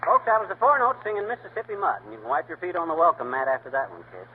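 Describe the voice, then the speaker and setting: A boastful, bumbling husband in a 1940s radio comedy, with a fairly high, bouncy voice. He talks brash and folksy, full of wisecracks. The sound is thin and tinny, like an old radio broadcast. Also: wildly swooping pitch, rapid-fire, a little hiss or hum.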